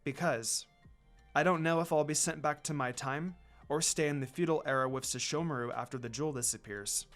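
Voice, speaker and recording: A man voicing a gentle, anxious young woman heroine in a story reading, soft and hesitant. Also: fairly high pitch, fairly quick, faint music behind, close to an everyday voice. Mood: worried.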